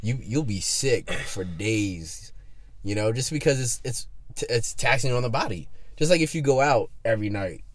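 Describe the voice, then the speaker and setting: A man's voice: mid-range voice, animated, normal speed, no room sound. A man in his twenties hosting a casual chat, easygoing and chatty, swearing now and then. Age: 20s. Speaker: podcast host.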